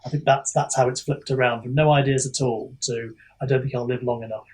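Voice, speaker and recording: A man with a husky voice in casual podcast chat as a guest author, rambling and self-deprecating, often halting and repeating himself. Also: medium pitch, fairly even intonation, a little mellow.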